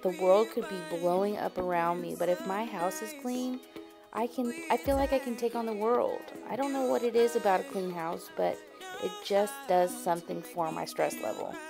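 A woman's voice: medium pitch, fairly even intonation, normal speed, gravelly. A woman in her thirties, a vlogger chatting upbeat and straight to her viewers while decluttering at home. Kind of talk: decluttering vlog voice-over. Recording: music playing underneath.

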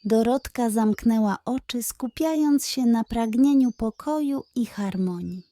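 Measured woman storyteller, slightly high voice. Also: warm tone, dry recording. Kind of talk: scripted children's story narration.

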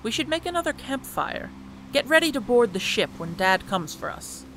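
An actress playing a friendly, practical young adventurer, sincere and reassuring, in an audio drama. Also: female voice, a little high, very animated, normal speed, fairly bright tone, slight background noise, rough recording.

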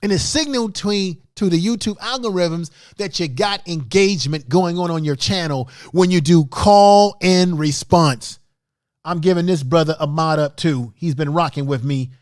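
A middle-aged man with a high-pitched voice hosts a livestream tutorial. He explains things emphatically and repeats his points as he addresses the chat. The sound is dry, from a microphone close to his mouth. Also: moderate pace, dry recording. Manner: emphatic, repetitive, instructive. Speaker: livestream host, YouTube creator. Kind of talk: live-stream tutorial talk to chat room. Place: small home studio room indoors. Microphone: large microphone close in front of mouth.

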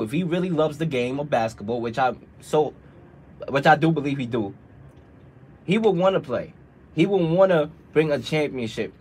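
A man's voice: fairly high pitch, a little mellow, a little hiss or hum. A young man co-hosting a radio show, giving long opinions in a casual sports debate, his voice a bit strained. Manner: talkative, drawn-out opinions. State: strained voice from frequent shows.

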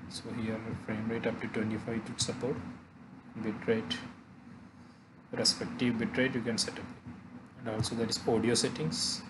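A man narrating a software tutorial haltingly, pausing often mid-phrase. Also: fairly low pitch, background noise. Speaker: tutorial presenter.

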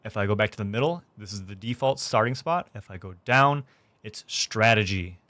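A young man hosting a gaming tutorial, explaining things clearly step by step from his streaming room. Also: on the low side, moderate pace, fairly bright tone, no echo. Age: young adult. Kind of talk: tutorial narration. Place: small indoor room streaming setup.

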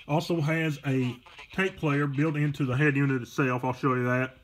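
A man giving a casual, unscripted product demonstration in a halting, plainspoken way, recorded at home on a handheld camera. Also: mid-range voice, fairly even intonation, a little hiss or hum, low-quality recording. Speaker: online seller demonstrating a car stereo. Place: carpeted room at home. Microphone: handheld camera behind the lens.

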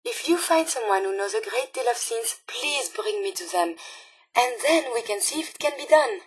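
A woman's voice: a little high, lively intonation, moderate pace, slightly rough, no room sound, low-quality recording. A woman playing an earnest, polite female robot scientist in a video game, with a French accent and formal, precise wording. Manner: formal, precise, carefully worded. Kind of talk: video game character dialogue.

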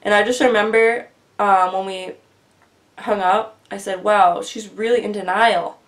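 A woman in her twenties earnestly telling a long personal story in casual, rambling vlog style, her voice often dropping quieter, recorded on a webcam in a small bedroom. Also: mid-range voice, fairly even intonation, unhurried. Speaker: vlogger. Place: small bedroom with bookshelf, indoors. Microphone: webcam at desk distance.

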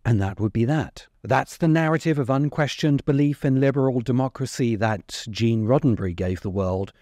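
A middle-aged man delivering scripted commentary as a video essayist, with measured, emphatic phrasing. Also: medium pitch, warm tone, no room sound. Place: open-sided covered outdoor space. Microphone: desk microphone close in front. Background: music.

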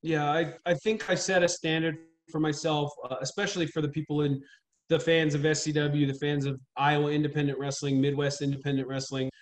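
A man in his thirties, a wrestling trainer, talking earnestly and steadily in a casual interview over a video call from a small room at home. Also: fairly high pitch. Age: 30s. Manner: measured, earnest, steady explaining. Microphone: webcam on video call.

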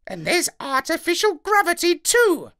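A man voicing an excitable talking parrot in a children's storybook, in a thin falsetto with precise, exclamatory delivery. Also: unhurried, heavily acted. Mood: amazed.

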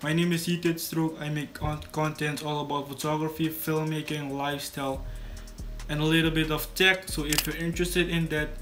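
Teenage male vlogger from Suriname, native Dutch speaker; monotone delivery, casual tutorial read. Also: a little high, normal speed, soft background music. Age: teens. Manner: casual, repeats and restarts words. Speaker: YouTube creator. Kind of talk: scripted tutorial vlog to camera. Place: small bedroom studio indoors.

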